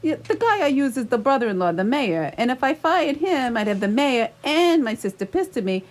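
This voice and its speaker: A nervous, evasive thirty-something man in a radio comedy, acted by a woman. The voice is high and jumps around in pitch. He hems, haws and stammers through his sentences. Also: very animated. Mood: awkward, complaining. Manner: halting, stammering, rambling. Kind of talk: radio theatre comedy acting.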